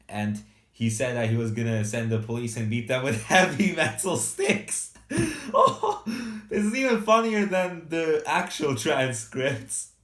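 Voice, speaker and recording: An eighteen-year-old man chatting casually and excitedly as he reacts to his old videos, laughing often and rambling. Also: lowish voice, reverberant. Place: small hot room without air conditioning.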